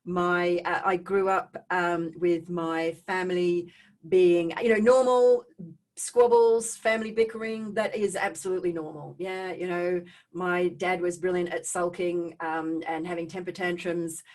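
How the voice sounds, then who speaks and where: A middle-aged woman with an Australian accent giving a personal talk to online viewers in a conversational way, with animated asides and checking in with her listeners. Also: on the low side, measured pace. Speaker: speaker hosting a live online talk on trauma recovery.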